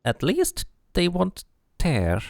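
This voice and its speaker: A man's voice: high-pitched, wildly swooping pitch, slow, gravelly, dry recording, clean studio sound, voice pushed up, exaggerated voice. A man voicing a weary, knowing old woman, a hedge witch who talks in an archaic folksy dialect, in a video game read-through. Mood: weary, critical.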